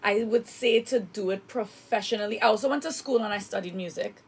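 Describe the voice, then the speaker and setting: A woman's voice: mid-range voice, a little fast, husky. A young woman from Trinidad, a singer guesting in a radio studio, chatting and telling her story with acted-out quotes. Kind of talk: casual radio interview.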